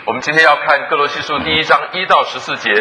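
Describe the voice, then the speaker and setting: A man's voice: a little high, bright, forceful tone, a little hiss or hum, poor audio. A man who introduces the guest pastor, then interprets the sermon phrase by phrase. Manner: rapid interpreting after each phrase. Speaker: church host and interpreter.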